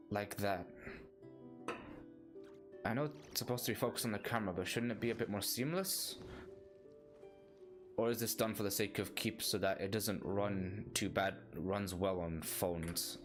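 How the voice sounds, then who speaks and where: A man's voice: on the low side, a little slow, pauses often. A man giving casual playthrough commentary as a game streamer, musing and thinking aloud. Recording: game music behind the voice. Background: faint game music.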